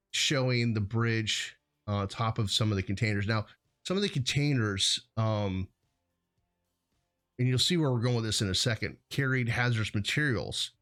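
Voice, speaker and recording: A man's voice: medium pitch, lively intonation, moderate pace, fairly bright tone, faint music behind. An American man hosting a show, talking in a casual, rambling, conversational way peppered with "you know". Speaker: host of a hazmat show.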